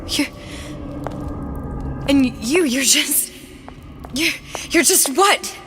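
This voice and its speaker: A woman's voice: sits high, very slow, bright, forceful tone, slight background noise, heavily acted. An actress playing a troubled, bookish young woman in a dramatic video game. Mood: shaken, despairing. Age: young adult. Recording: music behind the voice.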